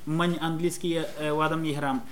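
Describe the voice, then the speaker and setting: Man interpreting sermon, quick hesitant phrases, fairly high voice, faint hiss. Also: little pitch movement.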